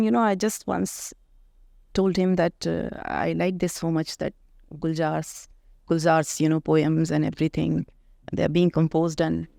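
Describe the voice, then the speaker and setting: A middle-aged woman from India with an Indian accent and Hindi as her first language, talking as a poet and author guest in a thoughtful interview, pausing often to find her words. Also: low voice, gravelly, a little mellow, dry recording, clean studio sound. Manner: thoughtful, searching for words with frequent pauses. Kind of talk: reflective conversational interview.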